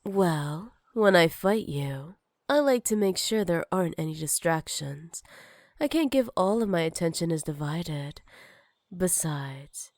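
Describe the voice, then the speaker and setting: Woman playing a possessive, teasing villainess, low-voiced. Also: darkish tone, no echo, studio quality. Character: possessive, jealous female villain with magic, teasing and menacing. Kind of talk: ASMR roleplay to the listener.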